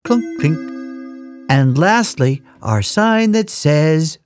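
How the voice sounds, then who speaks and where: A woman narrating a children's cartoon in a playful, animated way, with chatty asides to her young listeners. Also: low voice, slow pace. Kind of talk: playful scripted narration for toddlers. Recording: music behind the voice.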